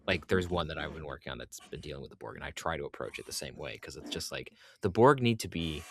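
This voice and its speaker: A man's voice: rumbling low, expressive, fast talker, fairly bright tone, slight background noise. A man interviewing on a podcast in a casual, informal and agreeable chatty way. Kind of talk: casual chat.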